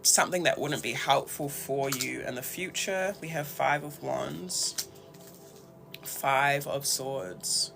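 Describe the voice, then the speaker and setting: Man, New Zealand accent, casual tarot host, slightly high bright voice, halting and reflective delivery. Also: gravelly. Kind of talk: casual, conversational tarot reading.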